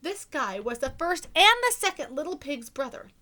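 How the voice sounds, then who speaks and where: A woman's voice: high-pitched, very animated, moderate pace, sharp tone, exaggerated voice. A woman voicing a self-justifying storybook wolf who pleads his innocence in an exaggerated, mock-innocent way. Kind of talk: animated children's storybook read-aloud.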